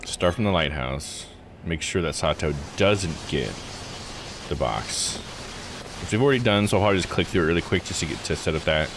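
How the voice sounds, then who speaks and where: A man's voice: deep voice, a little fast, background noise. A man streaming a visual novel, thinking aloud in a laid-back, jokey way as he plays. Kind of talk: casual game commentary with read-aloud text. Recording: voice over game music. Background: video game music.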